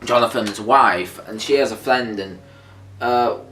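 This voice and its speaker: A man's voice: medium pitch, a little slow, sharp tone, slight background noise. A man chatting casually as he co-hosts a review, thinking aloud in a hesitant, rambling way. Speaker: co-host of a review discussion. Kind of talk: casual chat reviewing an audio drama. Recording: echoey room, distant mic, faint music behind. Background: faint music.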